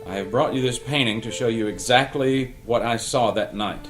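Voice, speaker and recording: A man's voice: mid-range voice, soft background music, natural voice. Man acting an earnest, dreamy visionary painter. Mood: wondering, hushed. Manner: measured, earnest. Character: sincere, dreamy male painter recounting a mysterious vision. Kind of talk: audio drama table read.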